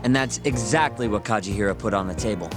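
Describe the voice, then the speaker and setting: A man's voice: on the low side, fast talker, lightly acted. An American man playing a savvy, breezy informant in a video game cutscene, talking in a casual, wry storytelling way. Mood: knowing, wry. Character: savvy, breezy male informant laying out a scandal. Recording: music behind the voice.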